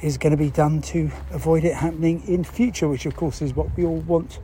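Man explaining, mellow voice, background noise. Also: fairly high pitch. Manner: halting, thinking aloud, with rhetorical questions. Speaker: presenter explaining news. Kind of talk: explanatory talk to listeners, unscripted.

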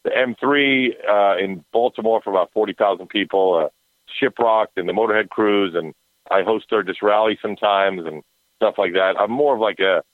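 A man's voice: on the low side, normal speed, no echo. Wisecracking man on a tinny phone line. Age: 40s. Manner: wisecracking, self-mocking. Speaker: radio host guest. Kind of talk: casual podcast chat.

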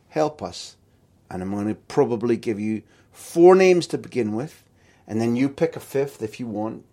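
A man hosts a podcast interview in a conversational style. His voice sits at a middle pitch, with a dark, mellow tone and a husky grain.

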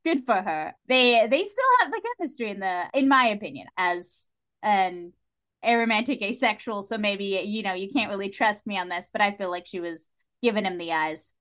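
A woman speaking as a podcast guest in casual, animated chat, giving her views informally and with emphasis. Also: medium pitch, normal speed. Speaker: podcast guest discussing a TV series.

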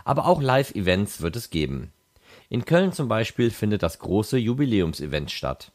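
A man hosts a podcast with a low voice. He delivers scripted narration with lively, varied intonation, moving freely up and down in pitch. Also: normal speed. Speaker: podcast host.

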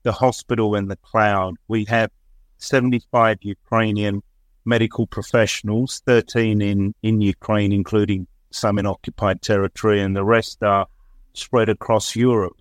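A man speaking as an interview guest, presenting his organisation in a measured, halting and appreciative way. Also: lowish voice, dry recording. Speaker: interview guest, chief executive of a telehealth service. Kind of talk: spoken interview answer, presenting an initiative.

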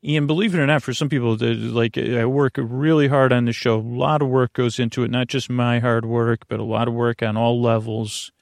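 Creaky, meandering male bedtime podcast host. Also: mid-range voice, fairly even intonation, dry recording, clean studio sound. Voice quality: creaky, gravelly. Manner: meandering, halting, repeats and circles back on himself; soothing, lulling. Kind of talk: rambling bedtime podcast monologue.

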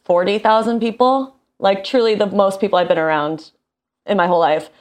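A woman in her thirties with an American accent, chatting casually in an expressive, slangy, self-deprecating way. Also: medium pitch, fairly quick. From small town in Indiana, United States. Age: 30s. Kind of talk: casual chat.

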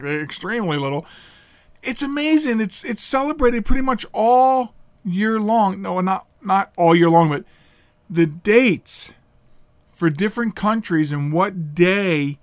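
A high-pitched man hosts a radio talk show by himself, his voice slightly nasal and clogged up from pollen. He rambles casually, trips over his words and corrects himself. The sound is close and dry, with no room echo. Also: fairly bright tone. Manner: rambling, chatty, with self-corrections and filler words. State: a little congested, nasal from pollen. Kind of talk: casual solo radio monologue.

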